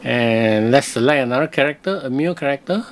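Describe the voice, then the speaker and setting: A man giving casual screen-recorded software review commentary, thinking aloud in simple, hesitant phrases. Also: mid-range voice, lively intonation, a little fast, a little hiss or hum.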